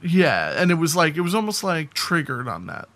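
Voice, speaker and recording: A man's voice: on the high side, sing-song, normal speed, fairly bright tone. A man co-hosting a podcast, telling anecdotes in a casual, rambling conversational way. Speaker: podcast co-host.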